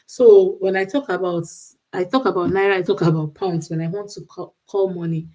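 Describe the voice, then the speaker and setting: A woman's voice: medium pitch, darkish tone, low-quality recording. A woman with a Nigerian accent talking off the cuff on a livestream, emphatic and repeating phrases for stress. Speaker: livestream host giving relationship advice. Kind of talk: unscripted livestream monologue.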